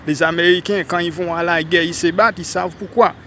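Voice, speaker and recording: A man from Haiti with a Haitian French accent, talking casually to viewers while walking outdoors on a city street and addressing them as ladies and gentlemen. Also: high voice, fairly even intonation, fairly quick, a little hiss or hum. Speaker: vlogger. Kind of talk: casual walking vlog monologue.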